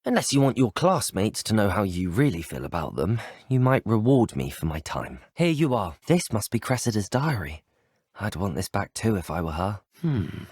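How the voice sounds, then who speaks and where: A man's voice: on the low side, very animated, normal speed, a little mellow, breathy edge, no room sound, studio quality. An actor playing an earnest, courteous young male student wizard in a video game, speaking formally with a British accent. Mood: musing. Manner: formal, well-spoken, courteous. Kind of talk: scripted video game voice lines.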